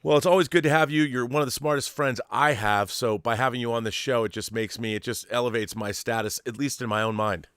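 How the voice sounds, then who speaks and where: A man's voice: medium pitch, steady tone, quick pace, hardly any pauses, fairly bright tone, clean tone, dry recording, clean studio sound. A man hosting a pop culture show with a grandiose, playful, theatrical manner. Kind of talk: showy scripted-sounding intro and casual fan discussion.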